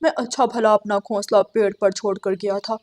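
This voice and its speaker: A woman's voice: mid-range voice, fairly even intonation, moderate pace, a little mellow, natural voice. A woman voicing an aggrieved, plaintive male pigeon in a children's cartoon. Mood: bewildered, upset. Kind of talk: Hindi children's cartoon dialogue.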